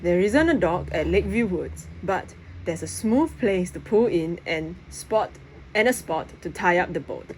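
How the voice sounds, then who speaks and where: A woman's voice: on the low side, lively intonation, unhurried, darkish tone, rough recording. A woman reading a children's novel aloud as first-person narration. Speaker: audiobook reader. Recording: faint background noise.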